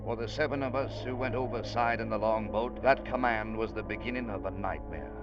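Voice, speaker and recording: A level-voiced first mate tells the tale of a stormy voyage, as a man acts him out. The dull old broadcast has music running clearly behind his narration. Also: on the low side, a little fast, lightly acted. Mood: narrating. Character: ship's first mate, steady seaman narrating. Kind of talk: 1940s radio acting.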